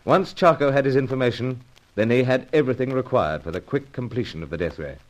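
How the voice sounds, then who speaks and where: An actor playing a suave secret agent hero in an old-time radio adventure serial, earnest and dramatic. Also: male voice, lowish voice, rough recording.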